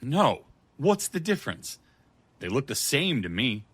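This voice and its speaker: A man's voice: medium pitch, sing-song, measured pace, fairly bright tone, breathy edge, exaggerated voice. An actor playing a tough, wry gun for hire in a noir audio drama, blunt in his delivery. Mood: baffled, blunt. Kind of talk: audio drama acting and first-person noir narration.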